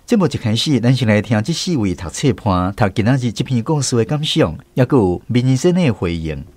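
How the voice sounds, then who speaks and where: A man's voice: mid-range voice, sing-song, brisk. A man with a Taiwanese accent hosting radio, reading a scripted programme introduction. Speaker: radio host.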